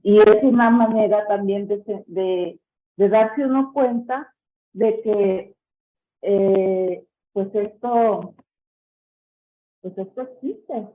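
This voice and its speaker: A Mexican woman, a guest medium, recounting a personal experience slowly and haltingly, with many fillers. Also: mid-range voice. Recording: tinny phone-like call line.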